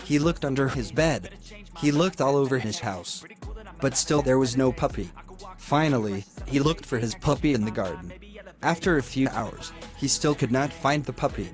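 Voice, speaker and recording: A man tells short moral stories for children, reading them out stiffly from a script. His pitch is average for a man, and music plays behind him. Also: lively intonation, normal speed. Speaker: children's story narrator.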